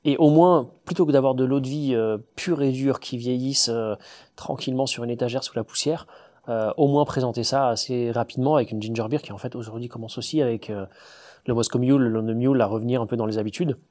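A man of ordinary pitch talks about his trade in a relaxed interview. He gets enthusiastic and explains at length, but hesitates often, filling gaps with "euh" and restarting phrases. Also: quick pace. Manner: hesitant, many fillers and restarts. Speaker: distiller, guest. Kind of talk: casual interview talk.

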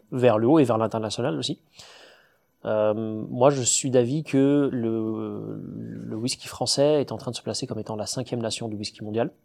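A man of ordinary pitch talks about his trade in a relaxed interview. He gets enthusiastic and explains at length, but hesitates often, filling gaps with "euh" and restarting phrases. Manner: hesitant, many fillers and restarts. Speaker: distiller, guest. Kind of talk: casual interview talk.

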